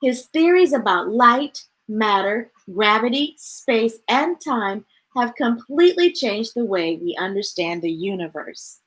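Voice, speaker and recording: Woman reading picture books aloud, fairly high. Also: expressive, unhurried, few pauses, fairly bright tone, low-quality recording. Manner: chatty asides to viewers. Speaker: children's storytime reader.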